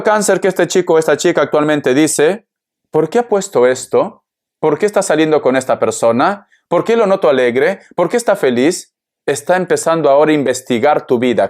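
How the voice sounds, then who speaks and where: A man's voice: fairly high pitch, a little mellow. A man giving an informal tarot reading, addressing the listener with pet names and repeated phrases in a dramatic manner. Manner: dramatic, acts out imagined replies from the viewer. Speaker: tarot reader, host.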